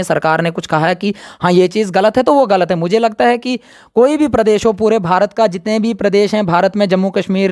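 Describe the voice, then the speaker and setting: A man's voice: sits high, a little mellow, dry recording, clean studio sound. A man giving his opinion in an impromptu interview, speaking emphatically and repeating his points. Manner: emphatic, repetitive.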